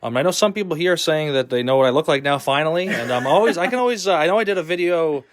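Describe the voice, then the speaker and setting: A man who films the stream and chats with the host, easygoing and soft-spoken, pausing often with fillers. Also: on the high side, expressive. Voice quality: soft, soothing. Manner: easygoing, hesitant, many fillers. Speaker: videographer, co-host. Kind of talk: casual chat.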